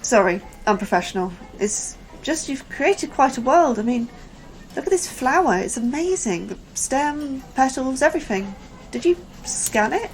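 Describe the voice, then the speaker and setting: A woman's voice: high voice, sing-song, moderate pace, soft background music, poor audio. An actress playing an anxious, apologetic woman scientist in a sci-fi audio drama, hesitant and earnest. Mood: impressed. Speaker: actor in a sci-fi horror fiction podcast.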